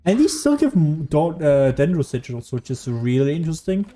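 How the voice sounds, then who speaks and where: A woman's voice: sits low, sing-song, moderate pace, dark tone. A woman giving casual gameplay commentary, understated and musing to herself as she plays. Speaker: game streamer.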